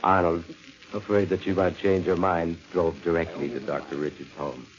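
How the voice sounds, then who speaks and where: Very deep actor as suspense narrator. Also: male voice, flat delivery, moderate pace, close to an everyday voice. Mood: grave. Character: ominous male narrator of suspense tales. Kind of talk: scripted 1940s radio narration. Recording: dull old radio recording.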